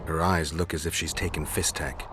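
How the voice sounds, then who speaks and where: A man acting a stoic, battle-worn monster hunter in a video game, terse and deadpan in delivery. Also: a bass voice, moderate pace, over music. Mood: grim, measured. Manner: deadpan, terse, matter-of-fact.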